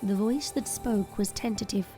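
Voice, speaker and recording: A woman narrates an audio drama in a warm, dark-toned voice of medium pitch. The delivery is scripted, dramatic storytelling, with faint music behind it. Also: normal speed.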